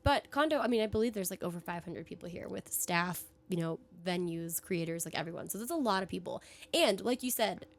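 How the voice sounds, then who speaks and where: A woman's voice: fairly low pitch, expressive, a little fast, gravelly. A young woman co-hosting a podcast in casual chat, her voice worn from days of talking, recorded on a studio microphone at an event venue. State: losing her voice. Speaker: podcast co-host.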